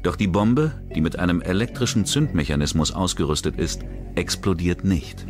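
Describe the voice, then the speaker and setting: A man giving a measured, grave scripted narration for a crime documentary. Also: sits low, animated. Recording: voice-over over loud music.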